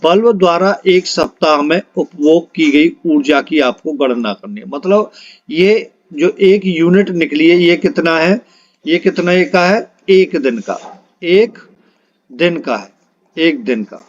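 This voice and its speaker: A man's voice: fairly high pitch, animated, slow pace, muffled recording. A man teaching a step-by-step problem-solving lesson, posing questions and answering them himself, often repeating key phrases. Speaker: physics teacher for exam preparation.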